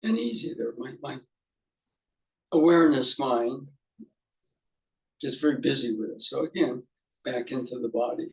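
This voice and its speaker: Male meditation teacher, mid-pitched, guiding off the cuff in halting, reflective fragments with pauses between phrases. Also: low-quality recording. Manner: halting, musing, speaks in short fragments with pauses. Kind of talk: unscripted guided meditation.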